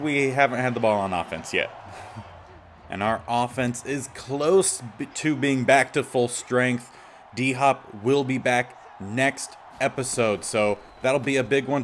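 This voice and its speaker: Male gaming host, mid-pitched and slightly raspy, casual play-by-play commentary over faint background noise. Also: fairly bright tone.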